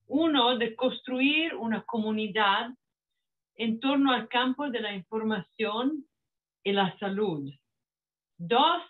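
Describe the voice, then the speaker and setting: A woman with a heavy Italian accent gives a formal welcome speech, speaking very slowly and haltingly and often restarting her sentences. Her voice sits a little high. The sound is narrow and phone-like, as over a video call. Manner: slow, halting, with frequent restarts. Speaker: network manager opening a panel.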